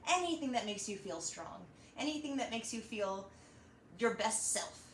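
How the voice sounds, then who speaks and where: A woman hosting a children's storytime, reading and chatting in an animated, encouraging way straight to young listeners. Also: mid-range voice, normal speed. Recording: roomy echo, slight background noise.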